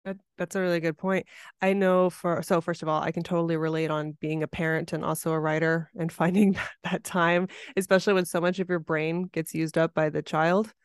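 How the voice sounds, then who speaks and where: A woman hosting a podcast, sounding a bit nasal from a head cold, moving from a scripted intro into relaxed conversation. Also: on the low side, fairly quick, smooth, no room sound, studio quality. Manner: friendly, scripted intro then relaxed chat. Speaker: podcast host. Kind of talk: casual interview.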